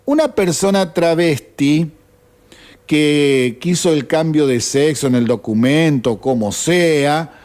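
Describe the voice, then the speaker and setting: An Argentine man on the radio rants with open indignation, firing off rhetorical questions at his listeners. His voice sits a little high for a man. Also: darkish tone. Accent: Argentine Spanish. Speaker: radio host. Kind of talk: opinion monologue on radio.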